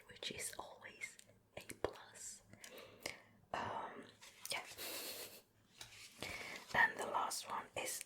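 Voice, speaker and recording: Female ASMR host, breathy whisper, crisp bright edge, close mic, slow casual show and tell. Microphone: close ear-to-ear microphone.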